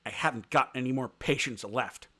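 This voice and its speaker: A man's voice: mid-range voice, moderate pace, sharp tone. A man reading aloud and voicing a young male deer who is earnest, lovestruck and insistent. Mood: stern, restrained. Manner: pleading, breathless, emphatic.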